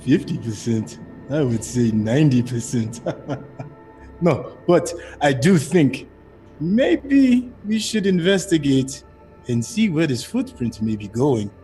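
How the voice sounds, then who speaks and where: A man playing a tabletop game, chatting casually as he asks questions and deliberates. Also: mid-range voice, lively intonation, dark tone, faint music behind, low-quality recording.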